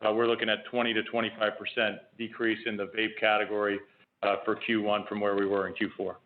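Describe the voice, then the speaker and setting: Phone-quality guy, company exec on an earnings call, measured and explanatory. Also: lowish voice, flat delivery. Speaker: company executive answering analysts. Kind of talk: earnings conference call answers. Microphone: telephone. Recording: tinny phone conference line.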